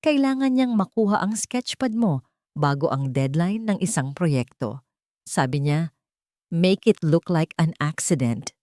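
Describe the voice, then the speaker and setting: A female narrator plays an older Filipino woman in an audiobook drama, timid yet fed up. She speaks softly and urgently, pleading as she confesses, in a slightly low voice with a dry sound. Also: animated, measured pace, no echo. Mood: weary, resolute. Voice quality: soft-spoken.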